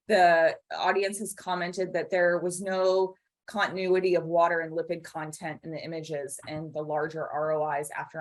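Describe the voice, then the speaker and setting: Lowish-voiced woman moderating a webinar. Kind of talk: relaying audience questions in a webinar.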